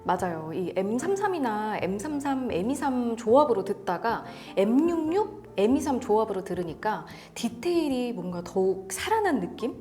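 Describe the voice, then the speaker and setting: A woman's voice: fairly high pitch, very animated, normal speed, hardly any pauses, warm tone, soft background music. A woman co-hosting an audio gear review, conversational, agreeing and prompting with questions.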